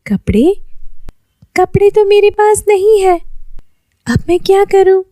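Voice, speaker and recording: Woman voicing a high-pitched, earnest young seamstress girl. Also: animated, slow, dark tone, dry recording, exaggerated voice. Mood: concerned. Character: kind, humble poor young seamstress girl.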